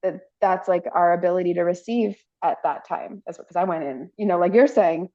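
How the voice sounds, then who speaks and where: Low-voiced woman host on dull audio. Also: brisk, mellow. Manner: storytelling, laughs often, many fillers. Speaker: podcast host. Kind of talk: casual conversational chat.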